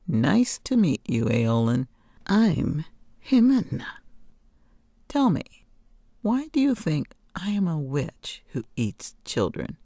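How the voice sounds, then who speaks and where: A woman voicing a kindly, amused old woman of the woods in a storybook, speaking in a measured, wry, teasing way. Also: low voice, sing-song, creaky, warm tone, no echo, clean studio sound, heavily acted. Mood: wry, friendly. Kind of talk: storybook character reading.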